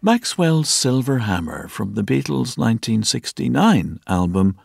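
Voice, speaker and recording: A man narrates a podcast in a very creaky, gravelly voice with lively intonation. The delivery is scripted documentary storytelling, with soft music underneath. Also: mid-range voice, measured pace.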